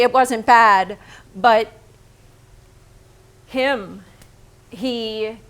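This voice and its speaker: Slow-speaking, higher-voiced woman painter, frank and smitten. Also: a bit bright. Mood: smitten, recollecting. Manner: deliberate, intimate, dramatic delivery. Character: Lee Krasner, frank, smitten thirty-something woman painter. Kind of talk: theatrical staged reading, monologue and dialogue.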